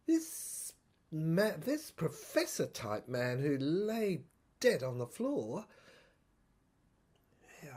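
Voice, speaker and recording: A woman playing a troubled soldier in an audio drama monologue, thinking aloud in a halting, stammering, husky voice. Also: low voice, a little mellow, heavily acted. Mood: grim, searching. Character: troubled soldier piecing together a dead professor's secret diary.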